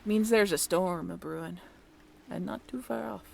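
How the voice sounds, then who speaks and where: Woman playing a hushed, dreamy old-time sailor girl. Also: mid-range voice, moderate pace, gravelly, a little hiss or hum. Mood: quiet, foreboding. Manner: soft-spoken, archaic sailor phrasing, wistful. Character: hushed, dreamy, ambitious young sailor woman from olden days. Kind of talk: period seafaring roleplay audio drama.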